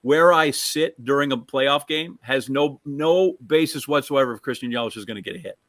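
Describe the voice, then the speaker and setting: A man's voice: medium pitch, normal speed, fairly bright tone, no room sound. A man with an American accent, co-hosting with casual sports banter. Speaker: co-host.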